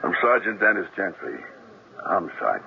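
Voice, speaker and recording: A deep, businesslike police sergeant. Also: male voice, very animated, exaggerated voice. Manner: brisk, businesslike, polite. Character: matter-of-fact homicide police sergeant. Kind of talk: 1970s radio drama acting. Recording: dull old radio broadcast with clear hiss.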